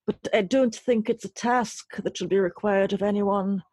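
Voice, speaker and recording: A woman speaking reflectively as an interview guest, in an articulate, literary and deliberate way. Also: medium pitch, fairly even intonation, mellow, slightly rough, no room sound. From Trinidad. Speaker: interview guest, poet and writer.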